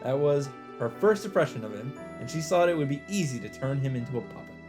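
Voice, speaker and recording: A man's voice: medium pitch, lively intonation, fast talker. A man hosting a let's play, reading a visual novel's narration aloud in a dramatic storytelling style. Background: game music.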